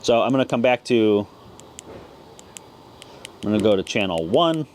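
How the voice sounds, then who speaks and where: Man, clear background noise. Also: lowish voice, lively intonation, moderate pace, darkish tone. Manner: plain-spoken, explanatory. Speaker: ham radio video host. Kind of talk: casual step-by-step product tutorial.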